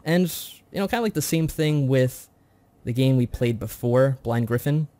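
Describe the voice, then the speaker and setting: A man co-hosting a casual review chat, talking in a measured, mild-mannered way. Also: medium pitch, dark tone, dry recording. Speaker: podcast co-host.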